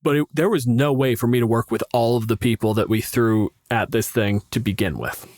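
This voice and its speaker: A man's voice: mid-range voice, brisk, a little mellow, slight background noise. A man speaking casually as a podcast co-host and game master, with a blunt, teasing, sweary manner.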